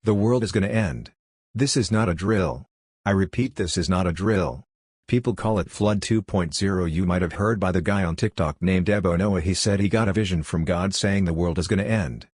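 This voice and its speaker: Deep-voiced man reading an emergency alert. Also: normal speed, darkish tone, slightly rough, dry recording, clean studio sound. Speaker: emergency broadcast announcer. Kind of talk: mock emergency alert narration.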